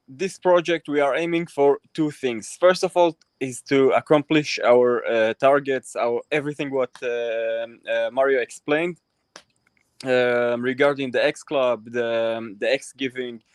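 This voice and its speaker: Man on a remote call, hesitant, lots of ums, crisp dry sound. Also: medium pitch, unhurried, no room sound. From Israel. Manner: hesitant, many ums and restarts. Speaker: crypto project team member. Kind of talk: casual livestream chat.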